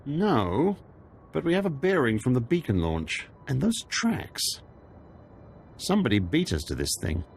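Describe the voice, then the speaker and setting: A man's voice: mid-range voice, big pitch swings, unhurried, gravelly, slight background noise. A male actor playing a loyal, easygoing military engineer in a video game, plainspoken and respectful. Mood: reporting, businesslike.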